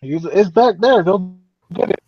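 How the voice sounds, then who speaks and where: A middle-aged man from northern Louisiana with no strong accent, chatting in a relaxed, wry way and chuckling through his stories. His voice sits in a medium range. The audio comes through dull and lo-fi, dry and close, like a call feed. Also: sits high, unhurried, breathy edge, dry recording. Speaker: guest on a live stream. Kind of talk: casual storytelling chat.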